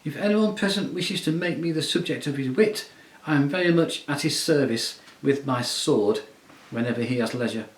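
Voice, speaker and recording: A man's voice: on the high side, moderate pace, pitched above the performer's own voice, natural voice. A man voicing a noble, courtly male talking mouse who speaks with formal, chivalrous pride. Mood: proud. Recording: roomy echo.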